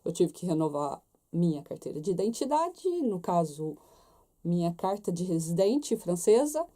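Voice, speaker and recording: A woman's voice: fairly low pitch, very animated, normal speed, mellow. A young Brazilian woman with a Brazilian Portuguese accent, chatting casually to her viewers and checking in with them often. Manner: chatty, talks straight to viewers with many tag questions. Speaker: vlogger. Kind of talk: casual chat to camera.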